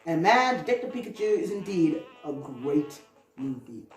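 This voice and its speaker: A woman's voice: low-pitched, very animated, normal speed. A woman hosting a video with casual, conversational commentary, rambling a little and adding hedging asides. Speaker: video host. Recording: roomy, echoey, distant mic with music.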